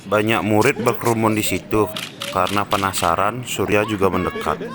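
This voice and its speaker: A man's voice: fairly low pitch, steady tone, moderate pace, a crowd in the background. A man narrating a scripted story. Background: music behind the voice.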